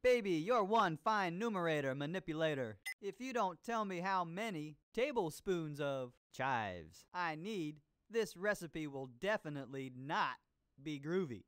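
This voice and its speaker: A groovy disco chef hosting an educational game show. The delivery is slow, showy and full of jive, with pitch that swoops widely. Disco music plays behind the voice. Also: male voice, animated, fairly bright tone. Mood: upbeat, showy. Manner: exaggerated jive talk, drawn-out showy delivery. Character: groovy disco cooking show host, upbeat hipster. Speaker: game host. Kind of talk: scripted educational game character performance.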